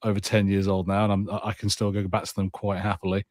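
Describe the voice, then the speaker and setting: A man's voice: on the low side, completely flat delivery, fast talker, a little mellow, no room sound, studio quality. A man in his thirties co-hosting a podcast, chatting casually in a hesitant, stop-start way. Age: 30s. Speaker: podcast co-host.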